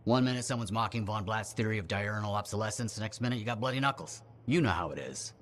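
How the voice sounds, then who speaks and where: A male actor plays a bookish scholar in a video game. His voice is on the low side, with formal, articulate phrasing and a scrappy streak under the earnestness. Light ambient noise sits behind him. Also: fast, fairly bright tone, close to an everyday voice. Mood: sheepish, defensive. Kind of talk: video game dialogue acting.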